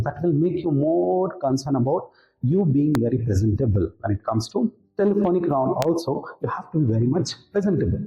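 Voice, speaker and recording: A man's voice: a little high, warm tone, dull audio. Indian man coaching in an echoey room. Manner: didactic, repeats key points for emphasis. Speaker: interview skills coach, presenter. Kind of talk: instructional talk to camera. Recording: roomy, echoing room.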